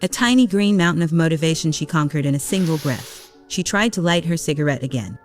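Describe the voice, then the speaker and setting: A woman's voice: deep voice, expressive, fairly quick, soft background music. A woman reading scripted story narration for an audio drama.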